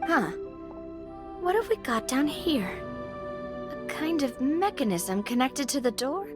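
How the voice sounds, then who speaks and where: A young woman adventurer in a video game, high-pitched and unhurried. She muses aloud, curious and playful, with music clearly behind her. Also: sing-song, heavily acted. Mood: curious, musing.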